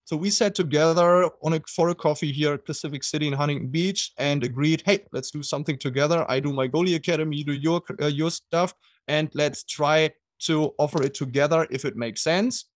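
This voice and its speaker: A middle-aged man with a German accent, speaking as an interview guest. His voice sits a bit high for a man and sounds dry and close, with no room around it. He talks haltingly, with fillers and restarts. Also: no echo. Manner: hesitant, many fillers and restarts. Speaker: guest, water polo goalie coach. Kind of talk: casual interview.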